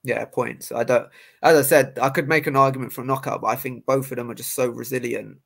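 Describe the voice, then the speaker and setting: A man's voice: mid-range voice, steady tone, fast, gravelly. Chatty young man, rambling. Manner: rambling, lots of fillers and restarts. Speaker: podcast host and boxer. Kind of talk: casual chat.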